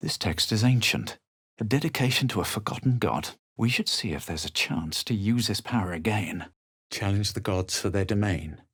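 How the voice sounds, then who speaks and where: Video game hero, a self-assured, superior adventurer with a lowish voice, recorded dry and close. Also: male voice, fairly bright tone, slightly rough, dry recording, full character voice. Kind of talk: video game character voice lines.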